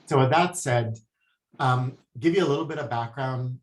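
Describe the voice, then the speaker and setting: A man from Hawaii, a guest speaking about healing in a soft, hesitant way with many pauses. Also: mid-range voice. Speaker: guest, spiritual healer. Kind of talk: casual interview talk. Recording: echoey room.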